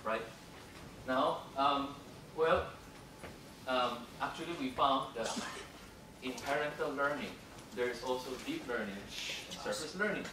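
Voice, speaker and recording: A man giving an academic lecture, halting and full of fillers, switching between English and Cantonese. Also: medium pitch. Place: lecture room. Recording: echoey room, distant, noisy.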